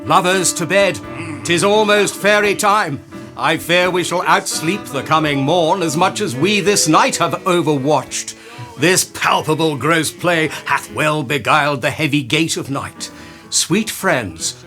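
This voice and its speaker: A man's voice: a little high, a little slow, pushed and bright, soft background music. A man playing a jovial, teasing noble duke in a Shakespearean radio play. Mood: grand, genial. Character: jovial, teasing noble duke presiding over wedding revels.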